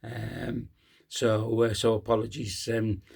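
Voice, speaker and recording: A hoarse man, hosting a casual post-match fan talk, halting with many ums and uhs. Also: on the low side, monotone, darkish tone. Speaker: fan channel host.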